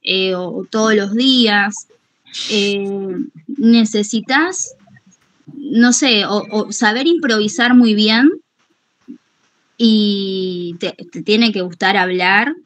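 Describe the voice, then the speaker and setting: Unhurried woman, dry close sound. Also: medium pitch, a bit bright, no echo, poor audio. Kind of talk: casual workshop discussion.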